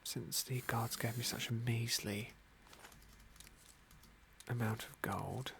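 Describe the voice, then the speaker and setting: A man softly narrating a fantasy game as an ASMR roleplay, unhurried and with formal, genteel phrasing. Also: mid-range voice, flat delivery, gravelly, a crowd in the background. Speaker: host of an ASMR game playthrough.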